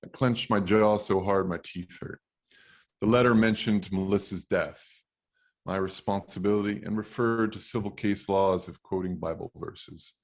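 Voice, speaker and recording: A man's voice: sits low, steady tone, moderate pace. A man reading a first-person novel aloud as its author, with a dry, wry delivery. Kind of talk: literary audiobook-style reading.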